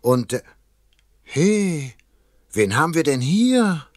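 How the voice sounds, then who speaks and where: An actor playing a chatty narrator who tells a children's story and addresses the listeners. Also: male voice, fairly high pitch, very animated, slow pace, no echo, studio quality.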